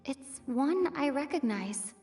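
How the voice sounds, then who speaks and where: An actress playing an analytical, composed young female investigator in an anime video game, speaking in a measured way. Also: high-pitched, lively intonation, warm tone, heavily acted. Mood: thoughtful. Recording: slightly distant, game music behind.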